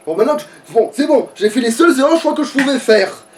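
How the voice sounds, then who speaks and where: A man's voice: very high voice, expressive, quick pace, distant mic, slight background noise. A man chatting casually as he plays, thinking aloud and swearing in grumbles. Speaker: let's play player. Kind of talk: casual gaming chat while playing.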